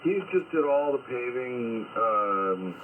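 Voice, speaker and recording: A man's voice: medium pitch, expressive, slow pace. A man on a ham radio call, asking friendly, curious questions. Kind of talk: casual radio chat. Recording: tinny radio line with noise.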